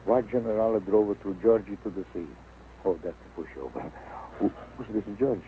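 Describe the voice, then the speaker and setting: An actor playing an excitable, good-natured Italian immigrant pupil who speaks broken English with a thick Italian accent in a vintage sitcom. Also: male voice, lowish voice, moderate pace, close to an everyday voice. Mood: baffled, muttering. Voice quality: broken English with stretched words. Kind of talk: 1940s television sitcom acting. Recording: tinny, noisy old 1940s broadcast.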